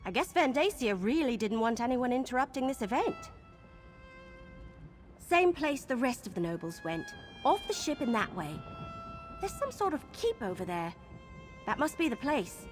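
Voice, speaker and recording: Lively, high-voiced adventurer in reverb. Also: female voice. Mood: urgent. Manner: brisk, informal, conspiratorial. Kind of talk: scripted video game dialogue. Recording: long reverb, game music behind.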